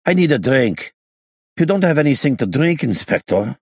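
A man's voice: mid-range voice, big pitch swings, moderate pace, a little mellow, slightly rough, no room sound, studio quality, voice pushed up. A man voicing a surly, agitated gangster in an audiobook, irritable and protesting. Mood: angry, shaken. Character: Hincus, surly, agitated gangster just freed from ropes.

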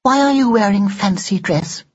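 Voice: mid-range voice, normal speed, husky, highs cut off, pitched above the performer's own voice, close to an everyday voice. A storyteller voicing an antique Victorian girl doll, prim and haughty, with formal, precise and condescending speech. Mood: prim, inquisitive.

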